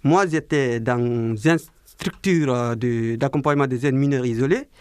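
A young man in his twenties from Guinea answers a radio interviewer. He speaks slowly and carefully, pausing to find his words. His voice sits at a middle pitch, and the recording is dry and close. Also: smooth, no echo. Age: 20s. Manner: slow, careful speech with hesitations. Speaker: interview guest, apprentice baker.

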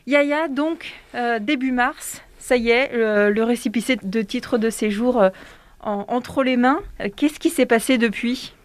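A woman's voice: fairly high pitch, measured pace, dry recording. A woman hosting a local radio show, reading news items and then interviewing guests with frequent hesitations. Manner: hesitant with many fillers when asking questions. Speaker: radio host.

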